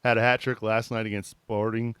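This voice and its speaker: A man hosting a sports podcast, jokey and quick to wrap up segments. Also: lowish voice, smooth, no room sound. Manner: jokey, lively, wraps up segments. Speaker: podcast host. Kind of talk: casual chat.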